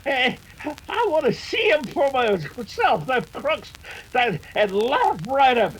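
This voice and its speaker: An actor playing an excitable old American rancher in a radio western, with a halting, repetitive rustic drawl. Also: male voice, extremely high pitch, husky, full character voice, rough recording. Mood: eager, suspicious. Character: folksy old frontier rancher father, excitable and warm-hearted.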